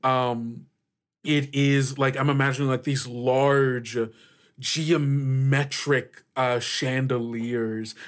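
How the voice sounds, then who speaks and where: A man narrating a tabletop roleplaying game as game master, in a halting, conversational way. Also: mid-range voice. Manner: halting, conversational, often saying "like".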